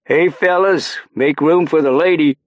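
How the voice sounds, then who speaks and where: A man's voice: low-pitched, expressive, measured pace, creaky, husky, dry recording, voice pushed up, heavily acted. A man voicing a cheeky, gallant, easygoing fellow in an audiobook, with a teasing manner. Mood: calling out.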